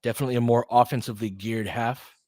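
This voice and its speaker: A man's voice: mid-range voice, fast, dry recording. Man calling basketball, informal and partisan, dry close sound. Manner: partisan, informal, excitable on big plays. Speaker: host doing fan play-by-play. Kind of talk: live basketball commentary and analysis.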